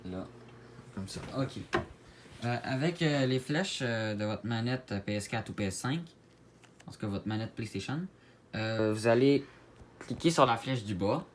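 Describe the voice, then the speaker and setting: A man with a Québécois French accent giving a casual tutorial to viewers, halting and repeating his words as he goes. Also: medium pitch, animated. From Quebec, Canada. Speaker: gaming video creator. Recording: clear background noise, home-made recording.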